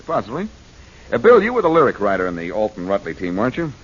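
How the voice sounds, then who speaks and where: An actor playing a confident, wisecracking amateur detective in a 1940s radio drama, speaking in a glib, theatrical way. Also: male voice, lowish voice, wildly swooping pitch, fast. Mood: cool control. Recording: muffled, noisy 1940s radio recording.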